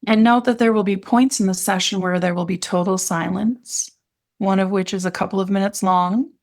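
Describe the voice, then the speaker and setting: A woman with a lowish voice and a noticeable fry guides a meditation. She speaks as an instructor, in soothing phrases broken by pauses, giving gentle instructions.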